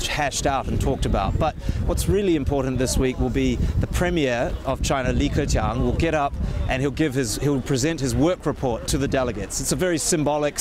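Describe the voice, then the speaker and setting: A man in his thirties answers questions as a correspondent, standing outdoors in a big public square. He speaks at a medium pitch, thoughtful and explanatory, often restarting his sentences. His lapel mic picks up clear outdoor noise around him. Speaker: bureau chief, correspondent. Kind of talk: on-location news interview.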